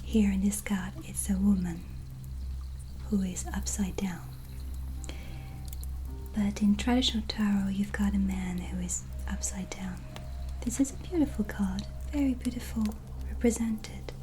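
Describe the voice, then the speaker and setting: Slow, whispery woman in soft-spoken ASMR. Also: mid-range voice, slight background noise. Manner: slow, gentle, soothing, with pauses. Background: soft relaxing music.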